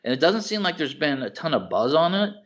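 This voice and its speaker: A man co-hosting a podcast, chatting casually and enthusiastically, halting and searching for words as he rambles. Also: on the high side, very animated, a bit bright. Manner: informal, enthusiastic. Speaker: podcast co-host. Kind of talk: casual chat about films and TV shows. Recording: slightly dull, lo-fi podcast audio.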